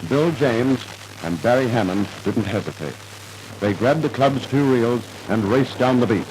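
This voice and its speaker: A man's voice: medium pitch, animated, measured pace, low-quality recording. A man narrating a scripted 1950s radio drama in a formal, dramatic storytelling manner, on an old radio recording.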